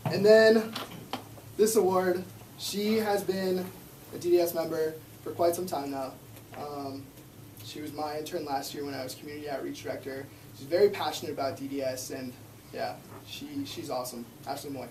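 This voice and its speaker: A young man with a slightly high voice emcees a student club meeting in an easygoing, upbeat manner. He sounds distant in an echoey classroom with faint noise. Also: fairly even intonation, moderate pace, darkish tone. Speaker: club president running the meeting. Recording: echoey room, distant mic.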